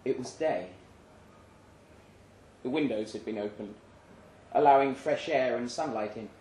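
A man reading literary fiction aloud in a dramatic first-person narration, with a grave, measured delivery. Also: medium pitch, darkish tone, a little hiss or hum. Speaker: story reader. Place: indoor live reading event. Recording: echoey live room.

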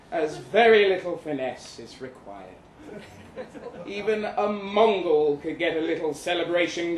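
Actor as pompous, slow-spoken lecherous soldier, roomy sound. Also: male voice, high-pitched. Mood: grandly exhorting. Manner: pompous, florid, savouring each phrase. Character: boastful, lecherous crippled Russian soldier advising lovelorn friend. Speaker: actor reading a short story at a live event. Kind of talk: theatrical first-person story reading. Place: indoor live reading event in London venue. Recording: roomy live venue, background noise.

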